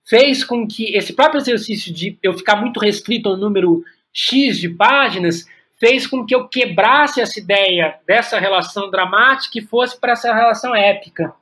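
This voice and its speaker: A Brazilian man speaking reflectively as an interview guest, often halting and repeating words as he thinks aloud. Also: high-pitched, sharp tone. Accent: Brazilian Portuguese. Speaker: podcast guest, playwright and director.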